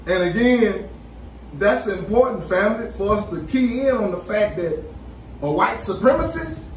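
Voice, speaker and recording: Middle-aged man, American accent, high voice, emphatic podcast talk, sounds off-mic with faint noise. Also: animated, normal speed, echoey room, distant mic, low-quality recording. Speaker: podcast co-host. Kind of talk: casual preaching-style discussion. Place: small podcast studio, indoors. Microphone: boom-arm studio microphone with headphones.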